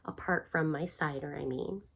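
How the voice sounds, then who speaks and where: Woman voicing Morwen, a brisk no-nonsense witch. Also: low-pitched, creaky, slightly rough, heavily acted. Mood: businesslike. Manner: firm, no-nonsense. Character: brisk, bossy, practical witch.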